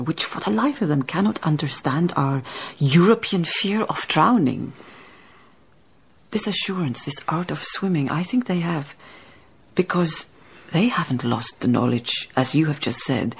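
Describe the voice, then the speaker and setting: An actress in a radio drama playing a dignified, thoughtful baroness, telling her tale in measured literary phrases. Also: deep voice, gravelly, slight background noise, full character voice. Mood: reflective. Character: reflective, dignified baroness, storytelling colonial farm owner. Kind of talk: radio drama acting and narration.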